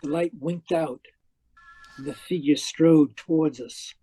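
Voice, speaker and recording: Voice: mid-range voice, steady tone, measured pace, dark tone, breathy edge, dry recording, poor audio. An actor in a radio mystery playing a distraught, newly dead spiritualist, dramatic and earnest. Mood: haunted narration. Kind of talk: old-time radio mystery acting and narration.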